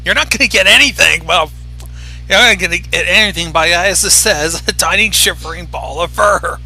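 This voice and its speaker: A man's voice: sits high, normal speed, bright, forceful tone, slightly rough, soft background music. A man giving casual, blunt reaction commentary to a story, swearing freely.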